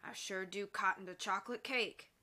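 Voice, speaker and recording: Woman reading young cowboy, calm, level, wry, bright tone. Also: medium pitch, normal speed, soft background music, lightly acted.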